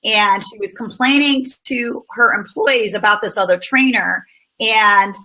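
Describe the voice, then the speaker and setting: Bright-voiced middle-aged businesswoman. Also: mid-range voice, a little slow, low-quality recording. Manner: thoughtful, explains with personal examples. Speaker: guest speaker on business. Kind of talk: casual conversational interview.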